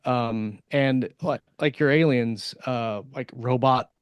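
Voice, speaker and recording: A man's voice: mid-range voice, slow, clear, resonant tone, no room sound, muffled recording. A man co-hosting a podcast, chatting casually in an informal, rambling way. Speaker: podcast co-host and author.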